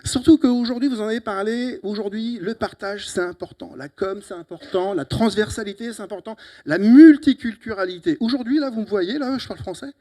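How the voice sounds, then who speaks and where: A middle-aged man from Italy with an Italian accent, giving a lively, teasing closing talk at a conference on a handheld mic, with sudden loud emphatic bursts. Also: sits high, brisk, hardly any pauses. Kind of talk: lively, joking conference speech. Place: conference hall. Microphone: handheld microphone.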